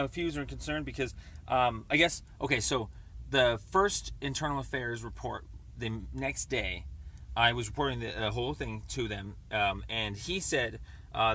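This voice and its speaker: A man calling to make a complaint, explaining at length in a rambling, halting, stammering way over the phone. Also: medium pitch, pushed and bright. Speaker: caller making a complaint. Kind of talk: phone conversation. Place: on a phone call.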